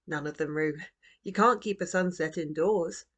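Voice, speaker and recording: Woman reading as a gentle, wistful old grandfather. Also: low voice. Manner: quiet, measured, tender. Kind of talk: children's storybook reading.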